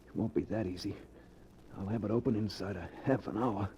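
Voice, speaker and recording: An American actor playing an anxious, reluctant honest locksmith in a 1940s radio thriller, speaking in a hesitant, uneasy way. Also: male voice, lowish voice, a little fast, dark tone, slight background noise, natural voice. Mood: uneasy, subdued. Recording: old 1940s radio recording, music behind.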